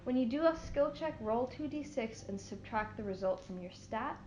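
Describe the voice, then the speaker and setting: A woman's voice: a little high, lively intonation, normal speed, darkish tone, slight background noise. A young adult woman narrating as game master, hesitant and full of fillers. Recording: roomy lo-fi room mic with faint music.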